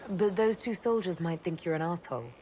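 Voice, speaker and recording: An actress playing a composed, inquisitive elf mage leader in a video game, speaking plainly and with a questioning manner. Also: female voice, lowish voice, brisk, mellow, a little hiss or hum, lightly acted, poor audio. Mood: curious, frank.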